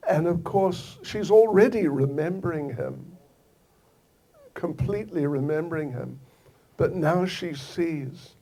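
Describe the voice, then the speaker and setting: Older guy from new zealand giving a slow gentle sermon, dark mellow voice, kinda husky. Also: sits high. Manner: slow, gentle storytelling with soft laughs and repeated phrases. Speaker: swami giving a scripture lecture. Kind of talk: devotional lecture.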